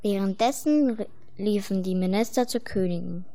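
A woman's voice: mid-range voice, moderate pace, warm tone. A woman reading scripted fairy-tale narration for a radio play.

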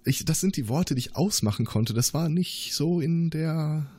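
A man's voice: on the high side, expressive, normal speed, darkish tone, husky. A man playing a paranoid, evasive male scientist. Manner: nervous, contradicts himself, rambling. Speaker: player voicing a suspect.

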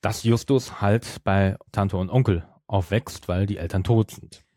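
A man's voice: on the low side, unhurried, warm tone, dry recording, studio quality. A man talking casually as the co-host of a podcast. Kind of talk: casual chat.

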